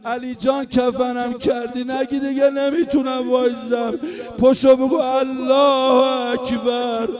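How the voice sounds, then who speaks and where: Brief: a high-pitched male eulogist, emotional chanted lament, with soft music behind. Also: level tone, hardly any pauses. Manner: emotional, wailing, half-sung lament. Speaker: religious eulogist. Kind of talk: chanted mourning sermon.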